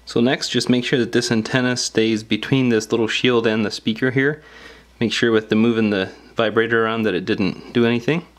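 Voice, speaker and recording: A man's voice: mid-range voice, steady tone, brisk. A man giving casual, step-by-step hands-on instructions in a plain-spoken, informal way. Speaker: repair tutorial host.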